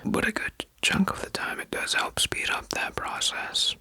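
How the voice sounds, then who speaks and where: A young adult new ASMR creator chatting in a soft, unhurried whisper with frequent fillers. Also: dry recording.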